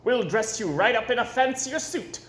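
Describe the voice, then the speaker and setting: Un hombre haciendo de jefe jovial y fanfarrón. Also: male voice, extremely high pitch, expressive, normal speed, fairly bright tone, roomy sound, pitched above the performer's own voice. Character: jolly boastful male creature leader.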